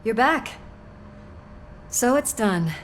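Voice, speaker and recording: A woman's voice: on the high side, lively intonation, extremely slow, slight background noise. A woman playing a loyal policewoman in a video game, casual, teasing and supportive. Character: warm, teasing, tough policewoman and loyal partner. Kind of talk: video game cutscene acting.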